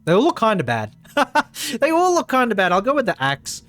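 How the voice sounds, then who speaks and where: Male live streamer, high and swooping pitch, slightly strained voice, chatty game commentary with repeated phrases. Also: huge pitch swings, a little fast, a bit bright, faint music behind. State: tired, strained voice.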